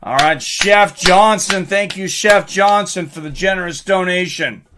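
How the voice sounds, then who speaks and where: A man hosting a live fight stream, excitable and informal, mixing commentary with casual chat to viewers. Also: sits high, measured pace. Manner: excitable, informal, swearing, reacting aloud.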